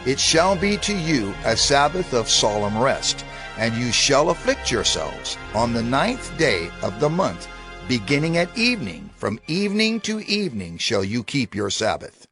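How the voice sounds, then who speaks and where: A man reading scripture as dramatized narration in a solemn, deliberate, declamatory way. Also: mid-range voice, big pitch swings. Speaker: scripture reader. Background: music.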